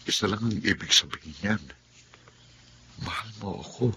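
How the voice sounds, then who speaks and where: A man playing Andrew, a devoted young boyfriend in a radio drama, who speaks softly and tenderly and sometimes teases. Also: fairly low pitch, normal speed, slight background noise. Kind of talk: Filipino radio drama acting.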